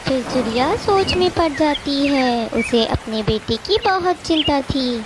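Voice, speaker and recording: A woman's voice: sits high, lively intonation, measured pace, noisy background. A woman narrating a scripted children's story.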